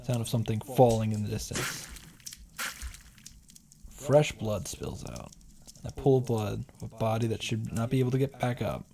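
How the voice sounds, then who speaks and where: A man reading a visual novel's grave young protagonist in a hushed, solemn, measured way. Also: on the low side, steady tone, gravelly, noisy background. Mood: grim, hushed. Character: grave young male protagonist narrating a deadly battle. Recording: music behind the voice. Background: music.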